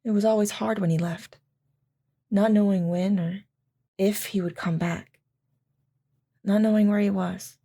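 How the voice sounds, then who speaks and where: A woman playing a devoted military wife in an audio drama, gently and wistfully recalling life with her husband. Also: mid-range voice, fairly even intonation, a little slow, pauses often. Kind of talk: audio drama acting, reminiscing narration.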